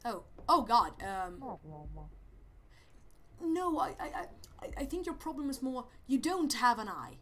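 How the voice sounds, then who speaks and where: A man's voice: very high voice, sing-song, measured pace, slight background noise, full character voice. A man with a Swedish accent voicing a goofy, rambling persona who stammers through a video game commentary and yelps when startled. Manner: rambling, hesitant, jumpy exclamations. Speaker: let's play host. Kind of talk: let's play commentary. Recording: game music loud behind voice.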